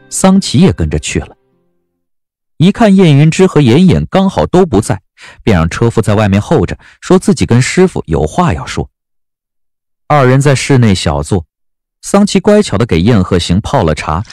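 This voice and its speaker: A man narrating a story as an audiobook narrator, in a scripted, expressive and measured dramatic style. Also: medium pitch, dry recording.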